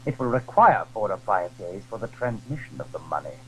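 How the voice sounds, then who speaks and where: A man playing a calculating, deferential Cardinal in a theatrical audio drama, speaking in a formal, deliberate way. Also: on the low side, very animated, a little hiss or hum, poor audio. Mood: musing, calculating. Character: calculating, deferential Cardinal scheming at the royal court. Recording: 1980s audio drama recording.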